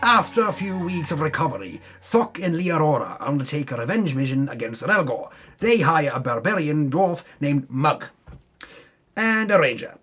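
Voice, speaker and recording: A man with a slightly high voice reads a fantasy tale as a grand dramatic narration. His delivery is theatrical and grandiose, broken by dry, tongue-in-cheek asides. Music plays softly behind him. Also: big pitch swings, normal speed, slightly rough. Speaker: narrator recapping a tabletop campaign. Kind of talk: dramatic reading, mock-epic scripted narration. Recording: music under the voice. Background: background music.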